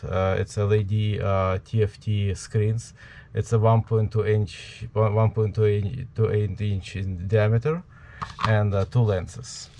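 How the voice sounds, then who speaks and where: A man with a heavy Ukrainian accent, giving a hands-on tutorial at a workbench in a halting way, full of fillers. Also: fairly low pitch, mellow. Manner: halting, many fillers, talks while working. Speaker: prop maker.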